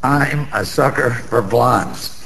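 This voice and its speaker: An actor playing a boozy, boastful middle-aged Broadway gambler in a radio drama, rambling in streetwise slang with a wisecracking manner. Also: male voice, medium pitch, slow pace, gravelly, fairly bright tone, full character voice. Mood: boozy, bragging. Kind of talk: 1990s radio drama acting. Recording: reverberant hall, faint background noise.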